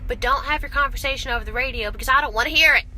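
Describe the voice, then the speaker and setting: A woman's voice: high voice, very fast. A young woman chatting casually and bluntly for a vlog, bantering with her co-host inside a truck cab. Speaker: team truck driver and video host. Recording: steady vehicle and road noise.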